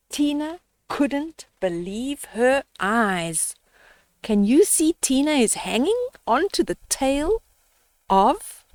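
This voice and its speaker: An older woman reads a picture book to young children at an unhurried pace. Her voice is on the high side and swings widely in pitch, with asides and questions for the listeners, in a dry, close recording. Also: no room sound. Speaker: children's storybook reader. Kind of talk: read-aloud storytime with explanatory asides.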